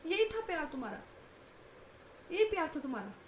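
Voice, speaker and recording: A woman voicing a distraught, indignant young woman in a told story, speaking high and shrill. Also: animated, brisk, darkish tone, echoey room, slight background noise. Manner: high-pitched, shrill outburst.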